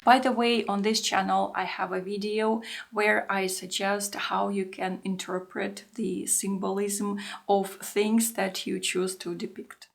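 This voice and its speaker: Woman in her thirties, gentle reflective voice-over, slight fry at phrase ends. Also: medium pitch. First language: Russian. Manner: calm, unhurried, introspective. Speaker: art journaling creator.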